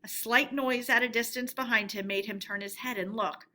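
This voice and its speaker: A woman storyteller reading a storybook aloud in an expressive narrating style. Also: on the high side, normal speed.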